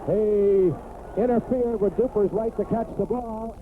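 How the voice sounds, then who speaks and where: A high-voiced American man delivers live football play-by-play in short, clipped phrases that build into excited calls. The sound is a tinny 1980s radio broadcast with clear noise behind him. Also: measured pace.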